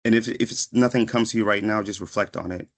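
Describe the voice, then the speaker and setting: Low-pitched man giving a reflective talk. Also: fairly even intonation, fast talker. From born in Ghana, raised in Washington, D.C. area from age five. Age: 30s. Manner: reflective, conversational, often checks in with "right?". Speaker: guest speaker, psychiatric pharmacist. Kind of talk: motivational career talk to students. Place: online video session.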